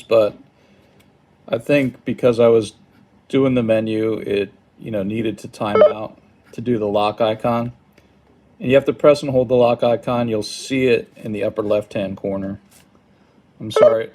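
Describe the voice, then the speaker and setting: A man giving an informal hands-on product tutorial in a hesitant, halting, apologetic manner. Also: on the low side, a little mellow. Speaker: product demonstrator. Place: indoors at a wooden table.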